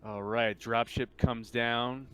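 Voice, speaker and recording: A man running a tabletop game as game master, narrating casually in halting, hesitant phrases over online play. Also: medium pitch, little pitch movement, a bit bright.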